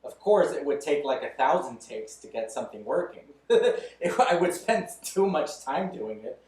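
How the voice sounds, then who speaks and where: A man's voice: on the high side, sing-song, normal speed, darkish tone. A man in his thirties talking loosely and candidly as a podcast guest, chuckling between thoughts. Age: 30s. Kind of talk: casual interview chat. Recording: roomy echo, mic at a distance.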